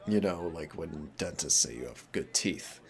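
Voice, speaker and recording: A man playing a shy, gentle, nervous young man in an intimate audio roleplay, hesitant, rambling and self-deprecating. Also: rumbling low, animated, moderate pace. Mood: anxious musing.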